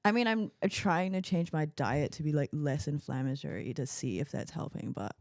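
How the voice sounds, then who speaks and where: Chatty young woman with gravelly voice. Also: low-pitched, moderate pace, darkish tone, dry recording, clean studio sound. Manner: chatty, bubbly storyteller with laughs. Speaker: podcast co-host. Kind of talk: casual chat.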